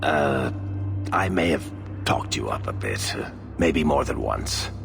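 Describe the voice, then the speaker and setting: A man's voice: rumbling low, animated, slow pace, gravelly, over music, full character voice. A man voicing a wry, talkative storyteller rogue in a fantasy video game, sardonic and theatrical. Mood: sheepish banter. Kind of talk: video game character dialogue.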